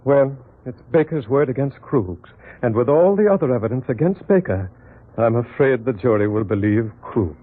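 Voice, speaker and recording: An actor playing a sober, doubtful defense lawyer in a 1940s radio drama, measured and skeptical, on a muffled old recording. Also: male voice, medium pitch, lively intonation, natural voice. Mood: doubtful, grave.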